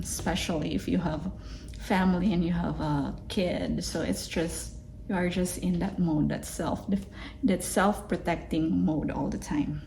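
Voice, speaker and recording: A woman's voice: fairly low pitch, vocal fry, dark tone, slight background noise, poor audio. A young adult woman from the Philippines with a Filipino accent, chatting casually in her vlog with many fillers and restarts. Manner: chatty, lots of fillers and restarts, laughs easily. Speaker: vlogger. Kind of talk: casual self-reflective vlog.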